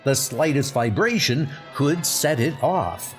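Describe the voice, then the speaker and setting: A man's voice: medium pitch, lively intonation, slow, soft background music. A man voicing an alarmed official of a futuristic city in a comic dub, explaining things urgently.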